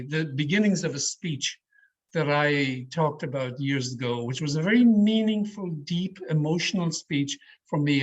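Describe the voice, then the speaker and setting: A man leading an online public speaking workshop in a deliberate, emphatic, varied delivery, as a trainer addressing his learners. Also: mid-range voice. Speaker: speech trainer giving an educational session. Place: online video call. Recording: slightly muffled, lo-fi video call audio.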